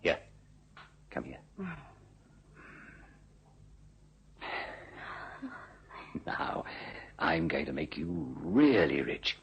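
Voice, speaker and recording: An actor playing a scheming, smooth-talking businessman in a radio drama, with a glib, wheedling and condescending manner. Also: a bass voice, lively intonation, takes it slowly, muffled recording, slight background noise, heavily acted. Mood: wheedling, seductive. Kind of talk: old-style radio drama acting.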